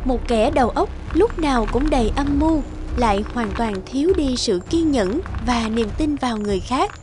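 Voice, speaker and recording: A woman's voice: fairly high pitch, expressive, moderate pace, noisy background, close to an everyday voice. A woman reading scripted fairy-tale narration for a cartoon.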